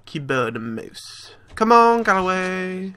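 A man's voice: sits high, big pitch swings, slow pace, pushed and bright, clean tone. A man giving casual, jokey gameplay commentary, sweary and reacting aloud as he plays. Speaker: gamer, let's play host.

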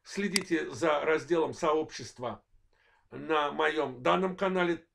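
A man in his forties from Azerbaijan with a worn voice, delivering a political analysis monologue in measured, halting short phrases as host of his own channel. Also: fairly high pitch, creaky, fairly bright tone. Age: 40s. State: voice worn, apologizes for it.

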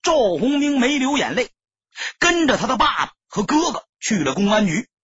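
Husky, very animated male storyteller. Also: extremely high pitch, normal speed, no room sound, muffled recording. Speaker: audiobook narrator. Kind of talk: dramatic scripted storytelling narration.

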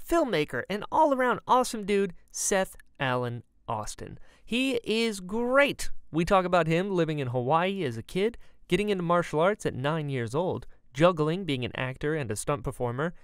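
A man speaks as an enthusiastic podcast host, with a lively, swinging pitch and casual phrasing. The sound is dry, with no room around it. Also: clear, resonant tone, no room sound. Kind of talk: upbeat podcast intro and casual interview chat.

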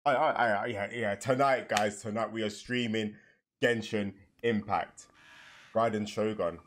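A young man giving casual, slangy, excitable reaction commentary with some swearing, as a streamer at home. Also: on the low side, measured pace, a little hiss or hum. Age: young adult. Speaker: reaction video host and streamer. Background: game trailer music and sound.

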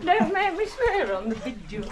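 High-voiced woman chatting off-mic. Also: sing-song, normal speed, far from the mic, a loud crowd, very noisy. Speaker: travel companion. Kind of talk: casual chat.

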